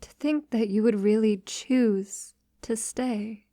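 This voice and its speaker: A woman playing a tender, devoted vampire lover in a romantic ASMR roleplay, speaking softly and formally and slipping into whispers. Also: fairly high pitch, fairly even intonation, no room sound, clean studio sound. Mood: earnest, relieved. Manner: soft, slow, breathy delivery slipping into whispers.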